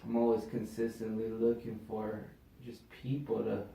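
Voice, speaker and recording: A man's voice: on the low side, monotone, moderate pace, gravelly, mellow. A young man hosting an interview show, casual and slangy, often asking "you know what I mean". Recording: roomy sound, mic at a distance.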